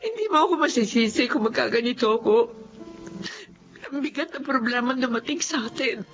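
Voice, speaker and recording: A woman's voice: a little high, expressive, a little fast, a bit bright. A woman playing an anguished mother of a sick child in a Filipino radio drama, plaintive and emotional, with a Filipino accent. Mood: despairing. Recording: dull lo-fi audio with faint music.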